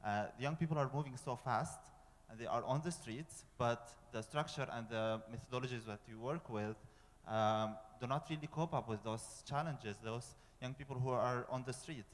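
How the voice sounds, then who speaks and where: A man's voice: medium pitch, normal speed. A young man with an Egyptian accent earnestly putting a question from the audience at a public forum, restarting phrases as he goes. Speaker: audience member asking a question. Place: large conference hall. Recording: reverberant conference hall.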